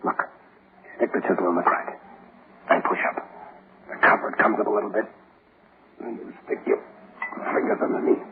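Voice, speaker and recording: A man playing a gruff scheming convict, deep low voice, tinny old radio broadcast with hiss. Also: flat delivery, normal speed, pauses often, close to an everyday voice. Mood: hushed. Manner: gruff streetwise talk. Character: scheming tough male convict escaping prison. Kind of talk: 1940s radio acting.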